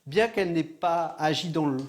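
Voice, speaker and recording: Lawyer guy talking earnestly, kinda high voice, stumbles a bit. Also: normal speed. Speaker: lawyer at a European institution. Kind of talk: interview answer.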